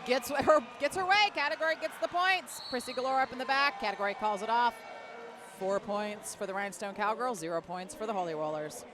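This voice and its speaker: A woman with an American accent giving live roller derby play-by-play, excited, emphatic and chatty. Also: on the high side, a little fast, crowd noise. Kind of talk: live play-by-play commentary with casual banter. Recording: noisy venue sound behind commentary. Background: loud venue noise.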